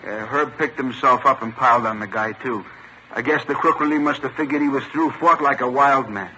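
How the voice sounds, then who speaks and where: A slightly noisy, muffled old radio recording of an American shopkeeper. He is talkative and worked up, with a sharp, forceful voice and an emphatic storytelling manner. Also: mid-range voice, fairly quick. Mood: indignant. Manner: emphatic, eager retelling. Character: worked-up, talkative male store owner, robbery victim. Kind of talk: 1950s radio drama acting. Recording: dull old radio recording, faint noise.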